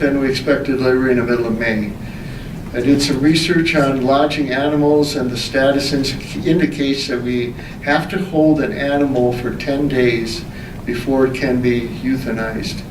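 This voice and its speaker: A man chairing a city council meeting as mayor, formally reading reports aloud and moving through the agenda. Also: medium pitch. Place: indoor council meeting room. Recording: echoey meeting room, distant mic, background noise.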